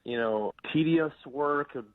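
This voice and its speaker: A man's voice: on the high side, measured pace. Hesitant polite man on a muffled phone. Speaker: temp worker taking a phone call. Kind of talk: casual phone conversation. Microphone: telephone. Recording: muffled, dry phone line.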